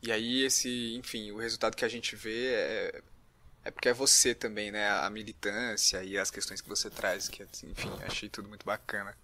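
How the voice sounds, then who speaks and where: A man's voice: lowish voice, little pitch movement, moderate pace, bright, forceful tone, slight background noise. Brazilian man, casual podcast interviewer.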